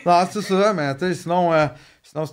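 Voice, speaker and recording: A man's voice: sits high, normal speed. A man in his thirties with a Quebec French accent, a podcast guest telling personal stories in casual chat, joking and restarting often. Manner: joking, frequent restarts and fillers. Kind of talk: casual storytelling.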